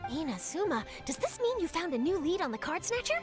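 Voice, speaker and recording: Eager, very high-voiced young reporter. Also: female voice, sing-song, brisk. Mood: curious. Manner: enthusiastic, inquisitive. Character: eager, bubbly young female news reporter. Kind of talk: anime game voice acting. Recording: soft game music behind.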